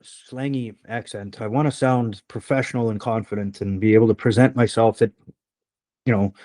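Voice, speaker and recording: A man's voice: lowish voice, fairly even intonation, normal speed, warm tone, dry recording. A man hosting a podcast in casual conversation, rambling and swearing often, with a slight rural twang. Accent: slight rural Canadian twang. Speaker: podcast host.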